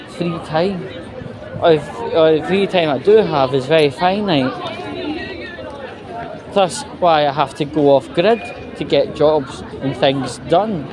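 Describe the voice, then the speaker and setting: A man with a Scottish accent gives an exasperated, sweary, halting off-the-cuff rant while out walking. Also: fairly high pitch. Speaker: vlogger. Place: outdoors, walking. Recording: noisy outdoor street with traffic and crowd.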